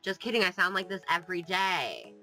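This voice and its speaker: A very bright, sniffly voice from a vlogger fighting a cold, talking into a desk mic. The chat is playful and sarcastic and wanders from one aside to the next. Faint music plays underneath. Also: medium pitch, animated, a little fast. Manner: playful, jokey, sarcastic, drifts off topic. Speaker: gaming YouTuber. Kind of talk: casual rambling vlog chat.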